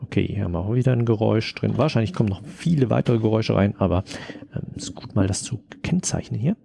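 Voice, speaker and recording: Ein Mann kommentiert locker ein Tutorial und denkt beim Arbeiten zögernd laut mit. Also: male voice, lowish voice, fast, vocal fry, noisy background. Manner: hesitant, thinking aloud while working. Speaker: tutorial presenter, audio drama editor. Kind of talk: casual screen-recorded tutorial commentary.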